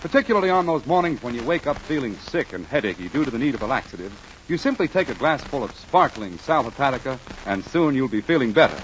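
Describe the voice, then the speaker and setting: A man with an American accent playing a friendly, earnest druggist pal and pitchman as a 1940s radio announcer. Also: big pitch swings, fast talker, close to an everyday voice. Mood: smooth selling. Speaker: announcer and sidekick. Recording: muffled, noisy 1940s radio recording.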